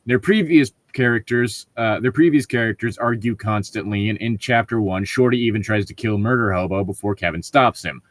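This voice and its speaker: A man with a fairly low voice and a very dry, close sound. He chats and reads stories in a casual, halting way, often reworking his phrases as he goes. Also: no room sound. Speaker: co-host reading online stories. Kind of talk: casual chat and story reading.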